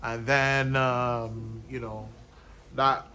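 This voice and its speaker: A man hosting a podcast, talking in a relaxed way with many ums and you knows. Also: medium pitch, drawn-out words, slight background noise. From Barbados. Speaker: podcast host, lawyer. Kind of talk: casual explanatory monologue.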